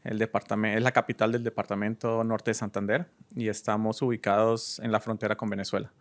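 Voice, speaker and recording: A Colombian man from Cúcuta in his thirties, answering interview questions in an easygoing, hesitant way with many pauses. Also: lowish voice, level tone. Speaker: guest DJ and entrepreneur.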